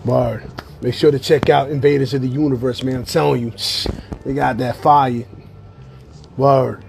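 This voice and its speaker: A man's voice: medium pitch, steady tone, moderate pace, gravelly, a little mellow, a little hiss or hum. A man hosting a casual hip-hop interview show over a video call, tired and losing his voice, talking in a laid-back, slangy way with plenty of fillers and cursing. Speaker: show host.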